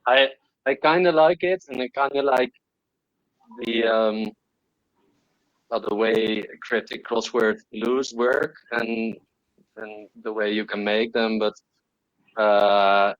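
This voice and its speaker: Slow, halting man. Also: on the low side, fairly even intonation, dry recording, muffled recording. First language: Dutch. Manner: halting, searching for words. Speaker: puzzle setter guest. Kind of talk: casual livestream chat.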